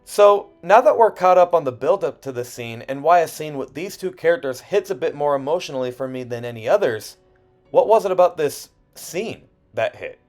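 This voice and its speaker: Higher-voiced man narrating over music. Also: animated, normal speed. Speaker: video essayist. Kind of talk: scripted video essay narration. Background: background music.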